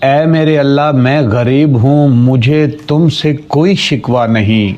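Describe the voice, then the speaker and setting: A man's voice: mid-range voice, takes it slowly, a little mellow, dull audio, natural voice. A man voicing a poor, patient, devout village father in a cartoon story. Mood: patient, resigned.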